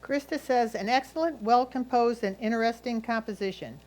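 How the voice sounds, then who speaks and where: A woman's voice: on the high side, normal speed, rough recording. A woman reading a judge's written critique aloud. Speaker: reader of judges' comments.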